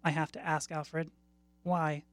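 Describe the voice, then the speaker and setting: A woman reading the part of a calm, compassionate female spirit of death in a short story, consoling and gentle. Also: sits low, slow, no echo.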